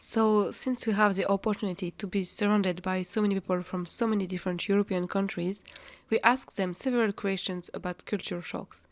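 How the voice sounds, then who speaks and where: A woman's voice: medium pitch, steady tone, a little fast, no room sound. A twenty-four-year-old woman from France co-hosting a podcast, speaking in an informative, matter-of-fact way. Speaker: podcast co-host.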